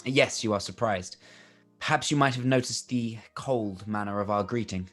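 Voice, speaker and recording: A man playing a charming, wronged young officer in a period radio play, confiding and measured, with music behind. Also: lowish voice, fairly bright tone, lightly acted. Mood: polite, probing. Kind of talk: live-read period radio play acting.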